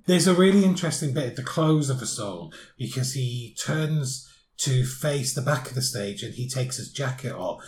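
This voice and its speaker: A man's voice: medium pitch, animated, normal speed, a little mellow, roomy sound. A man hosting a podcast, describing things in a casual, descriptive way with lots of fillers. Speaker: podcast host. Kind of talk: casual chat.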